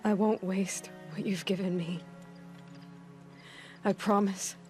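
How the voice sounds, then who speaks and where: An actress playing a grieving, resolute young outcast hunter in a video game, in a soft, breathy voice with halting, intimate phrasing. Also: female voice, medium pitch, fairly even intonation, natural voice. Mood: grieving, tender. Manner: halting, hushed, speaking in short reflective phrases. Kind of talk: naturalistic video game acting, intimate monologue. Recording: music behind the voice. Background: game music.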